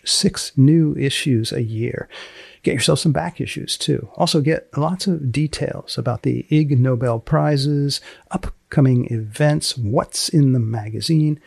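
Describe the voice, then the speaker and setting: A man hosting a podcast, asking questions and making announcements in a deadpan, dryly deliberate manner. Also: mid-range voice, gravelly, breathy edge, no room sound, studio quality. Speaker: podcast host and interviewer. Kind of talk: podcast interview and scripted announcements.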